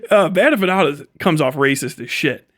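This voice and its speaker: A man with a fairly high voice in a dry, close-sounding space, hosting a livestream. He rants casually and sarcastically, with plenty of slang and swearing, and is prone to laughing through his words. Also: lively intonation, fairly quick, a bit bright, no echo. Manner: profane, slangy, sarcastic, laughs often while talking. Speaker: livestreamer, host. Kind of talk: casual livestream rant and commentary.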